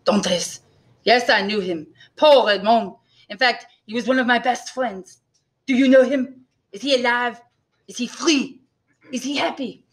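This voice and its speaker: A woman's voice: a little high, a little slow, voice pushed up, natural voice. A woman voicing a self-pitying, bitter male innkeeper who is eager to please, plaintive and emphatic, in an audiobook. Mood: agitated, sorrowful.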